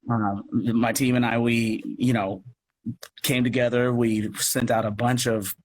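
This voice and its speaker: A man's voice: medium pitch, little pitch movement, measured pace. An American man talking casually as an interview guest, in a rambling, self-effacing way. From United States. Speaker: guest, audio drama producer and actor. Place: live social media video call. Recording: noisy live video-call audio.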